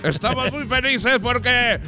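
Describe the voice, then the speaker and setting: A man's voice: very high-pitched, a little slow, loud music behind the voice. A man playing a boisterous Spaniard from Barcelona, exclaiming, swearing and stumbling for words. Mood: jubilant.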